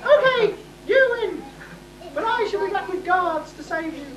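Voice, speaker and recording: A woman's voice: extremely high pitch, animated, normal speed, over music. A woman playing a fussing, overdramatic pantomime character on an amateur stage, full of exclamations. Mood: defiant. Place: amateur stage show in a hall, audience behind. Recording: echoey hall, far mic, audience noise.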